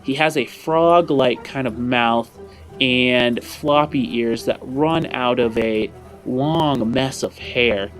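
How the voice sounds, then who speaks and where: A man narrates a tabletop roleplaying game as its game master, improvising descriptions. He takes his time, and soft music plays underneath. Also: mid-range voice, slow pace.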